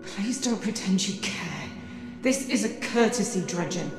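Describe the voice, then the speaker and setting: An actress voicing a grieving young woman, quiet and curt. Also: medium pitch, animated, unhurried, close to an everyday voice. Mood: cold. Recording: echoey room with music.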